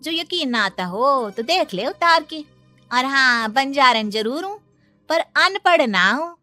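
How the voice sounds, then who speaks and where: A woman plays a young nomad bride in a cartoon. Her voice is high and bright. She is sassy and defiant, stretching out her taunts. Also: expressive, takes it slowly, soft background music, full character voice. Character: feisty young nomad bride, daughter-in-law. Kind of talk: Hindi cartoon story acting.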